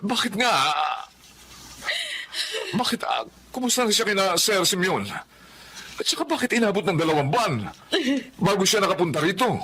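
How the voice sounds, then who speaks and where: A man's voice: high voice, sing-song, moderate pace, pauses often, sharp tone, husky, heavily acted. A man playing a caring, remorseful middle-aged working father, earnest and anguished, in a Filipino radio drama. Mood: concerned.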